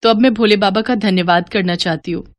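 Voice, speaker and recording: A woman's voice: mid-range voice, fairly quick, close to an everyday voice. Woman playing a sweetly insistent young bride. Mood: sweet, coaxing. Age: young adult. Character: sweet, coaxing young bride, quietly scheming daughter-in-law. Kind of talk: animated moral story voice acting.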